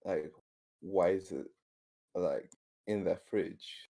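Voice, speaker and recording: A man's voice: deep voice, slow pace, gravelly, mellow. A man chatting casually as a player in a tabletop roleplaying game, tentatively thinking aloud.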